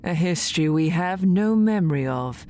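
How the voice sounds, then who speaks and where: A woman playing a calm, questioning elder with formal, measured diction in a fantasy audio drama. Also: fairly low pitch, lightly acted. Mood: calm, grave.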